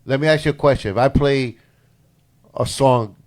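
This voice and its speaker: A man co-hosting a casual talk show, giving shout-outs and telling a story about working as a DJ. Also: medium pitch, moderate pace. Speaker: co-host and DJ. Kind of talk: casual podcast chat.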